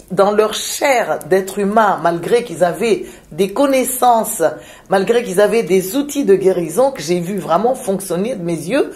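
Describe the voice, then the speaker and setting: A woman from Madagascar, a shaman, speaking in a reflective interview with frequent pauses, hesitations and repeated words. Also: mid-range voice, a little mellow. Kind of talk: reflective spiritual interview talk.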